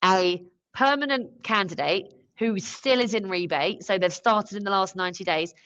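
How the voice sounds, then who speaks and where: A woman's voice: mid-range voice, moderate pace, a bit bright, no echo, muffled recording. Emphatic woman explaining, dry webcast sound. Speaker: recruitment automation consultant. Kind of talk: live business discussion. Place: online live stream.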